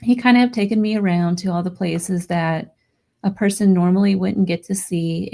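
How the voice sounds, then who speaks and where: Hoarse, gravelly woman hosting live radio. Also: fairly low pitch. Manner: chatty and excited, addresses listeners directly. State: hoarse, losing her voice. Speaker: radio podcast host. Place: home studio near Las Vegas.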